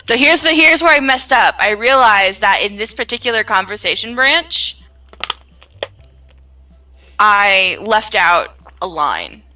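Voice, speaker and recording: Bright-voiced woman, distant from mic. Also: mid-range voice, animated, unhurried. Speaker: game developer and player. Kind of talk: casual group stream with voiced reading.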